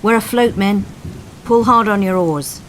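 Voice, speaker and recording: A woman voicing a commanding lifeboat coxswain, shouting urgent orders to his crew in a dramatic story. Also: high-pitched, unhurried, slight background noise, pitched above the performer's own voice, rough recording. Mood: rousing, urgent. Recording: storm sound effects behind voice.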